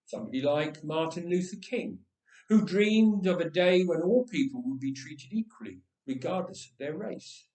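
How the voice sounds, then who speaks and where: Male story reader, lively and slightly high-pitched, dramatic pauses and drawn-out phrases, recorded roomy and a little distant. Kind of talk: expressive read-aloud storytelling. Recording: echoey room, distant microphone.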